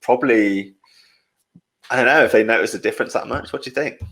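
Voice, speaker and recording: A man's voice: medium pitch, fast, a bit bright. A young man from the English Midlands hosting a casual podcast, chatty and reading out viewer comments with frequent laughs. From England, Midlands. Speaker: podcast host.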